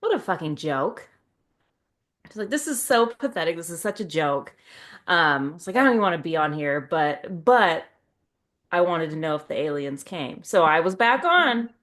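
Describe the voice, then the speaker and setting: A woman's voice: lowish voice, very animated, normal speed, a bit bright. A middle-aged woman chatting casually as a podcast host, retelling things in a wry, self-mocking way with quoted dialogue.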